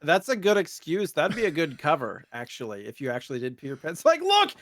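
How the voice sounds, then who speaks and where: A man with a slightly higher-than-usual voice, recorded dry and close. He talks casually as a podcast co-host, joking along in an easy conversational way. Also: wildly swooping pitch, brisk, a bit bright, no room sound. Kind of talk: casual chat.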